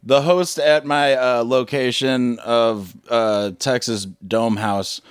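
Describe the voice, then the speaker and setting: A man's voice: mid-range voice, steady tone, slow, smooth, no echo, studio quality. A man co-hosting a comedy podcast, telling a crude story in a blunt, profane, loose way. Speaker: podcast co-host.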